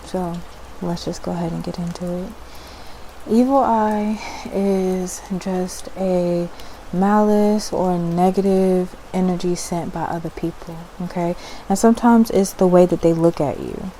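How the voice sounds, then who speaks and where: Low, mellow woman speaking softly for ASMR. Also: steady tone, measured pace, poor audio. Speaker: ASMR host and spiritual practitioner. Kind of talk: ASMR, soft-spoken informal explaining. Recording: faint background noise and ambience.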